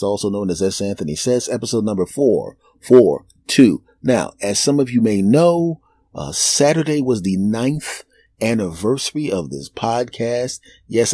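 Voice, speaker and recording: A man in his fifties hosting a solo podcast, rambling and joking, laughing at his own jokes and dropping into low asides. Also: mellow, no room sound, studio quality. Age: 50s. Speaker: podcast host. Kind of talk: solo comedic podcast monologue.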